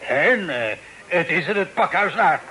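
An actor playing a garrulous, wry sea captain who talks in folksy sayings, in radio drama style. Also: male voice, high voice, lively intonation, measured pace, sharp tone, a little hiss or hum, exaggerated voice. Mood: wry, resigned.

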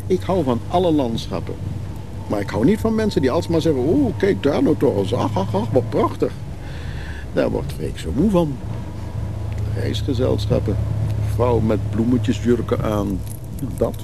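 Sardonic husband, noisy car. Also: male voice, mid-range voice, sing-song, moderate pace. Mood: grumbling. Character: weary, sardonic husband with strong dislikes. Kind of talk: naturalistic radio play dialogue. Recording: clear background noise, car ambience.

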